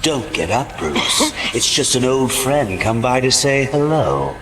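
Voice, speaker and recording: A man's voice: mid-range voice, big pitch swings, measured pace. A man playing a gleeful, hateful villain clown in an audio drama, with mocking, theatrical delivery. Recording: cavernous reverb, loud music behind.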